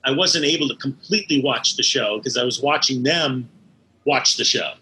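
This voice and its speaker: A middle-aged or older man talking as an interview guest, reminiscing in a halting, thoughtful way and searching for words. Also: medium pitch, sharp tone, poor audio. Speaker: interview guest, animation studio head.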